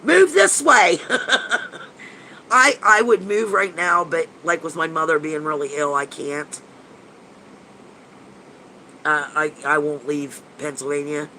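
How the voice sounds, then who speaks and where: Stuffy-nosed man in his fifties, bright voice. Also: a little high, slow, vocal fry, long pauses, a little hiss or hum, rough recording. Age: 50s. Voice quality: nasal. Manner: rambling and gushing, with frequent restarts and casual swearing. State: stuffy and nasal from a cold. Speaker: paranormal investigator hosting a live chat. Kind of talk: casual livestream chat answering viewers.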